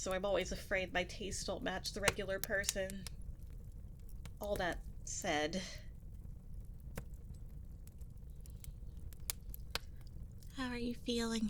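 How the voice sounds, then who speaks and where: A woman's voice: mid-range voice, moderate pace, lightly acted. A woman playing a seductive, possessive witch in an ASMR audio roleplay, coy and flirtatious with a politely sinister manner. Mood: coy, attentive. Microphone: close binaural 3Dio microphone. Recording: nature sounds and fire crackle behind voice. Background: nature ambience, fireplace.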